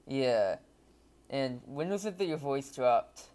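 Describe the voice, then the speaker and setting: A man's voice: mid-range voice, animated, slow pace, a little mellow. A man hosting an interview, asking casual questions with a few fillers. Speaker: host, interviewer.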